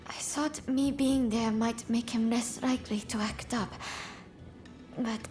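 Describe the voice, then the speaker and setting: An actress plays a gentle young samurai-era wife with a high, soft voice. She speaks slowly and politely, earnest and worried, in a dramatic video game style, with faint music underneath. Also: fairly even intonation, close to an everyday voice. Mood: anxious, grateful.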